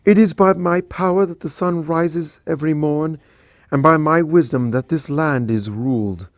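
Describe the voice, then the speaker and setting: A man voicing a regal female princess, gentle yet commanding, in a stately, proclaiming way. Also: on the high side, normal speed, no echo, voice pushed up, lightly acted.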